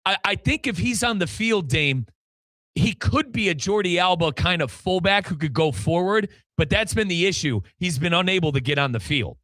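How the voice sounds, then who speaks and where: A man hosts a sports talk show with a very bright, forceful voice set a little high. The sound is close and dry, and he leans on his words emphatically. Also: animated, normal speed, no echo. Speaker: sports radio show host and football play-by-play commentator. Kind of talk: animated sports talk with sponsor reads.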